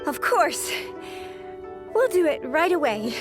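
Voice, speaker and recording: A woman playing an overworked, excitable young office assistant, eager and deferential, in an anime game. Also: high voice, slow pace, over music, heavily acted.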